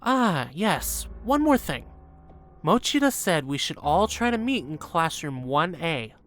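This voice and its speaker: A woman's voice: deep voice, sing-song, unhurried. A woman playing a composed schoolgirl classmate in an anime game fan dub. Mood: curious, polite.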